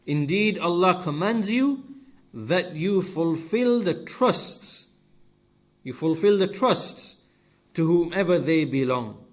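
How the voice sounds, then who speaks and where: Higher-voiced man giving a religious lesson. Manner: emphatic, repeats key phrases. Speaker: religious teacher. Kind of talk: lesson-style sermon explaining scripture.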